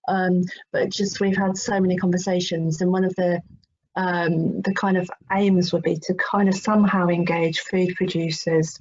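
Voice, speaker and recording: A woman's voice: lowish voice, a little mellow. A woman speaking as a guest in an informal online discussion, thoughtful and working out her ideas aloud. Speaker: guest presenter, teacher. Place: online video call. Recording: dull, noisy online call with clicks.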